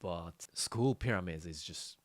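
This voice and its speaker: A man, chatting casually on a podcast in halting, restarting English. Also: low-pitched, dry recording. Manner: halting, restarts often, non-native phrasing. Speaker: podcast co-host. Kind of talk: casual chat.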